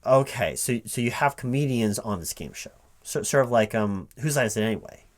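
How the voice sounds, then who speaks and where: A man's voice: mid-range voice, expressive, brisk. A man who stammers and repeats words hesitantly, speaking aloud as a recruiting manager in a one-sided ASMR roleplay. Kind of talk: one-sided interview roleplay, soft-spoken ASMR at normal voice.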